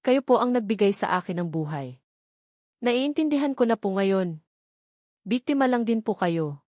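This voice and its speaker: A woman's voice: medium pitch, lively intonation, moderate pace, a little mellow, dry recording, clean studio sound, lightly acted. Woman voicing a tender, forgiving young daughter. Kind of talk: Tagalog romance audio drama.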